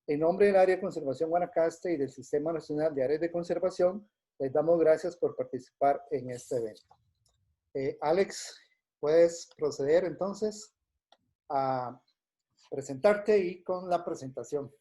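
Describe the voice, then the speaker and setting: A man hosting a webinar, reading a formal introduction of the guest in a measured way with occasional hesitations. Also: a little high, warm tone. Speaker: event host.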